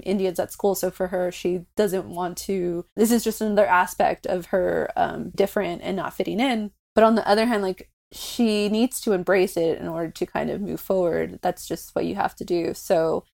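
A woman's voice: lowish voice, steady tone, normal speed, creaky, hardly any pauses, darkish tone. A Mexican American woman co-hosting a casual podcast, chatting about a TV show with frequent restarts and light laughs. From United States. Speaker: podcast co-host. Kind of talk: casual chat discussing a TV show.

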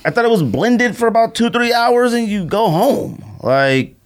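A man's voice: very high voice, lively intonation, moderate pace. A man hosting a podcast in casual, slangy, conversational talk. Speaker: podcast host. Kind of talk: casual sneaker podcast chat.

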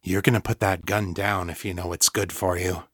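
A man's voice: on the low side, sing-song, moderate pace, pushed and bright, slightly rough, no echo, studio quality, full character voice. Man acting a snarling, violent male thug. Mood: quiet menace. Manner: snarling, spitting his words. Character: violent, menacing male thug with telekinesis, raging.

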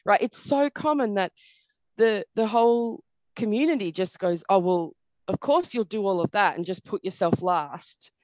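Woman, middle-aged, croaky tired voice, earnest, dry close sound. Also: mid-range voice, moderate pace, a little mellow, no echo. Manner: earnest, many "you know" fillers. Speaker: podcast guest. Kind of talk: reflective interview conversation.

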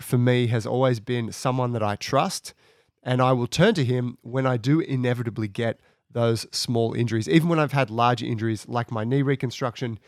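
A man with a raspy voice from a cold, speaking conversationally as he introduces his podcast from home. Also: medium pitch, dry recording, clean studio sound. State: has a cold. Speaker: podcast host.

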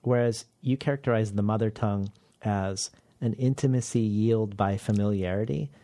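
A man hosting a literary interview program, asking measured, curious questions with occasional fillers. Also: lowish voice, warm tone.

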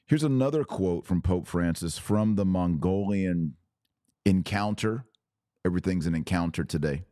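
A man hosting a podcast, giving religious commentary in a deliberate way with pauses and emphatic phrasing. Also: sits low, dark tone, no echo, clean studio sound. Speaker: podcast host.

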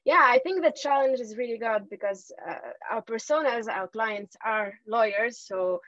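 A woman's voice: fairly high pitch, normal speed, no echo. Chatty woman from Tunisia, lo-fi call. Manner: laughs often, warm and chatty. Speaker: podcast guest, lawyer. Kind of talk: casual interview chat.

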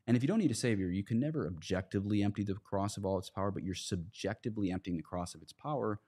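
Low-voiced man, emphatic priest in religious discussion. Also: rapid-fire, darkish tone. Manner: emphatic, argumentative, poses rhetorical questions. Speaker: priest, podcast guest.

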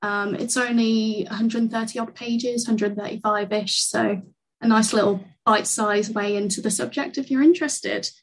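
A woman's voice: fairly high pitch, steady tone, moderate pace, rough recording. A woman leading a podcast book discussion, chatty and friendly. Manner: friendly, chatty, laughs easily. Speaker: podcast interviewer. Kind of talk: casual podcast chat.

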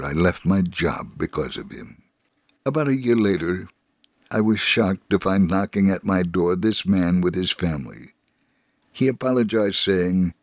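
A man giving a scripted dramatic reading of a first-person story in a measured, earnest way. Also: low voice, no echo, studio quality. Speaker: dramatic reader of a testimony.

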